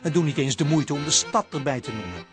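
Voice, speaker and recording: An actor playing a wry provincial narrator who mocks city folk in scripted radio play narration. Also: male voice, fairly high pitch, animated, fast talker, close to an everyday voice. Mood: wry, mocking. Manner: wry, mocking. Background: accordion and guitar music.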